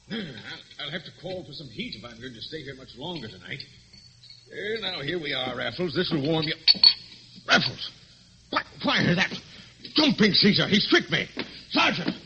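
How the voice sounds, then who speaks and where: An actor playing a stuffy, gullible middle-aged police inspector with old-fashioned phrasing, in old-time radio drama style. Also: male voice, fairly high pitch, sing-song, moderate pace, long pauses, sharp tone, heavily acted. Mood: alarmed, shouting. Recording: dull, noisy vintage radio recording.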